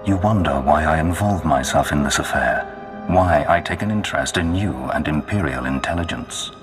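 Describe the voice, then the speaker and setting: Cavernous, very deep menacing Sith lord. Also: male voice, over music. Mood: ominous, commanding. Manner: grandiose, slow, commanding. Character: menacing male Sith lord of a dark empire. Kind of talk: video game villain acting. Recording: long cavernous reverb.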